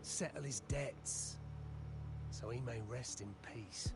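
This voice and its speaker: A man's voice: mid-range voice, slow pace, loud music behind the voice. An actor playing a weary, embittered old man in a video game, speaking in a solemn, rueful way. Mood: bitter, grieving. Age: older. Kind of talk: scripted video game dialogue.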